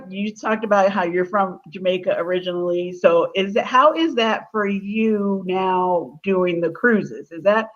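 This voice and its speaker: Chatty woman host on a livestream, laughs a lot, kinda dull lo-fi sound. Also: medium pitch. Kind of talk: casual livestream interview.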